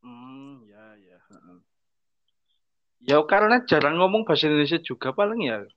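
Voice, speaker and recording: A young man co-hosts a relaxed podcast, answering in short, teasing remarks. His voice sits a little high, and the recording sounds dull and lo-fi. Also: moderate pace. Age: young adult. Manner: easygoing, short replies, teasing. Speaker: podcast co-host. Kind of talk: casual podcast chat.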